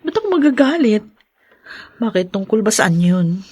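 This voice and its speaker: A young wife in a radio drama. She is earnest and probing, questioning in a middle-pitched voice. The recording is very dry. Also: big pitch swings, moderate pace, darkish tone, husky, no room sound. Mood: shocked, incredulous. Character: concerned, probing young wife, increasingly incredulous. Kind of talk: Tagalog radio drama acting.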